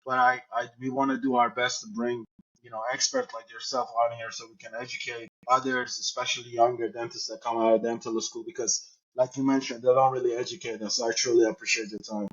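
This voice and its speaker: A man hosting a podcast, talking in a relaxed, friendly way with lots of "you know". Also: medium pitch, fast, husky. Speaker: podcast host. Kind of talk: casual interview chat. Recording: dull lo-fi sound.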